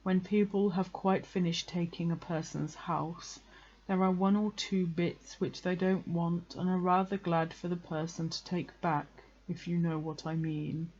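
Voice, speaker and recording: Woman voicing a slow, mournful donkey. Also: on the low side, little pitch movement, a little mellow, muffled recording. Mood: gloomy, resigned. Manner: melancholy, slow, drawn-out delivery. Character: gloomy, self-pitying old male donkey. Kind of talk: children's storybook character reading.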